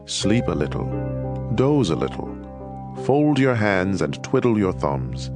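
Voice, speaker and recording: A man's voice: lowish voice, very animated, measured pace. An actor playing a fatherly teacher counseling his children in a dramatized, instructive scripture reading. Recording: music playing behind the voice. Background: instrumental music.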